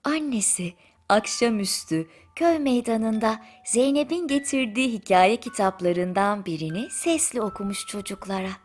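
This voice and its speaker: A woman narrating a bedtime story in a soothing, gentle manner with drawn-out pauses. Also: a little high, animated. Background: music.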